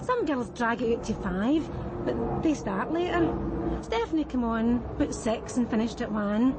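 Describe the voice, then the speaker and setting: An actress playing a blunt, world-weary Scottish street prostitute in a radio crime drama. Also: female voice, fairly high pitch, animated, moderate pace, lightly acted. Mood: weary, bitter. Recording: noisy street and car background.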